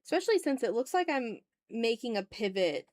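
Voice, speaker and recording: A woman's voice: fairly high pitch, sing-song, fairly quick, darkish tone, no room sound, studio quality. A woman hosting a livestream, chatting informally and expressively straight to her viewers. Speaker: art livestream host. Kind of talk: casual livestream chat, reading and answering viewer messages.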